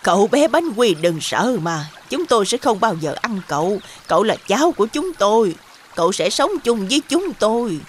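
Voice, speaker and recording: An actor plays a kindly old cartoon grandmother, gently reassuring. Her voice rises and falls widely, with faint noise behind it. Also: medium pitch, very animated, normal speed, natural voice. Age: older. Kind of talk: children's cartoon dub.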